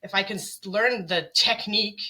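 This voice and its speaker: Casting note: woman singer and guest, bright tone, lively storyteller. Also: mid-range voice, normal speed. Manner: chatty, animated storytelling. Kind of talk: casual interview chat.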